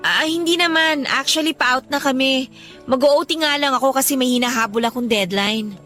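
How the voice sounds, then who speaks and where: A woman's voice: sits high, moderate pace, sharp tone, faint music behind. A Filipino woman playing a busy young office worker in a Tagalog radio romance drama, casual, apologetic and guarded. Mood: friendly.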